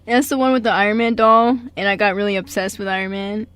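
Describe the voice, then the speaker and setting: A woman's voice: medium pitch, quick pace, a bit bright. A young American woman co-hosting a student radio show, chatting informally in a hesitant, slangy, giggly way. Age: young adult. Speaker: college radio show co-host. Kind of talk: casual, informal radio chat.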